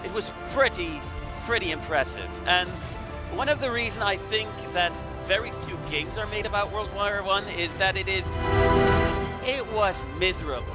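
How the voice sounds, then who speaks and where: A man giving casual game commentary on history, halting as he searches for words. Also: high voice. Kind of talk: casual historical chat over gameplay. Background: music.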